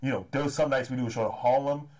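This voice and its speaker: A man in his thirties from the Los Angeles area, a promoter chatting eagerly as an interview guest, often tacking "man" onto his sentences. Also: medium pitch. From Los Angeles area, California. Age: 30s. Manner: fast, energetic, repeats "man" often. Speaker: comedy and hip-hop promoter, guest. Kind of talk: casual interview chat.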